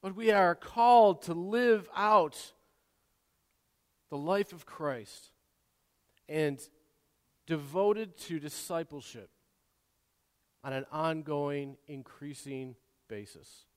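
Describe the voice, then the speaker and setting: A man preaching a sermon, swinging between quiet asides and loud, impassioned exhortation, often repeating and restarting words. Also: fairly high pitch, takes it slowly, long pauses, clean tone. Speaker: pastor. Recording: long hall reverb.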